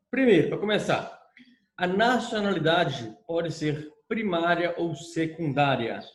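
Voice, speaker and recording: A man with a Brazilian Portuguese accent giving a recorded law lecture, explaining step by step and checking in with his students. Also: a little high. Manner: explanatory, repeats points with "tá?" check-ins. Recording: echoey room.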